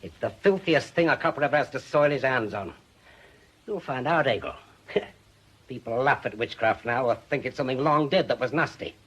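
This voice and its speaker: An actor playing a bitter, obsessive middle-aged retired policeman in a radio drama, forceful and sardonic. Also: medium pitch, animated, normal speed, breathy edge, low-quality recording. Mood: grim, insistent.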